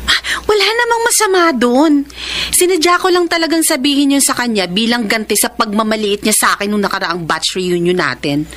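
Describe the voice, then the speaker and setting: An actress playing a hardworking, sharp-tongued young businesswoman and bossy elder sister in a Filipino radio drama, with an expressive, preachy delivery. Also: fairly high pitch, brisk, natural voice. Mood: smug.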